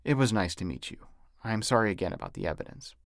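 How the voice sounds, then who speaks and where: A man's voice: low-pitched, huge pitch swings, quick pace, gravelly, no room sound, clean studio sound, full character voice. A man reading an audiobook as an anxious, apologetic husband who speaks in a hesitant, submissive way. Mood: apologetic, somber.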